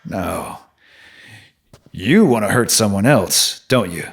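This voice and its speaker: Audio drama role, male actor: streetwise male stranger from the underground, lowish voice, highly animated, profane and probing. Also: slow pace, gravelly, breathy edge, heavily acted. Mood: grim, probing. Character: streetwise, needling male stranger living down in subway.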